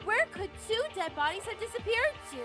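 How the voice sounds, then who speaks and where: A woman's voice: extremely high pitch, very animated, a little fast, over music. A woman playing a timid, anxious teenage girl student with hesitant, stammering speech in an anime game fan dub. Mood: nervous.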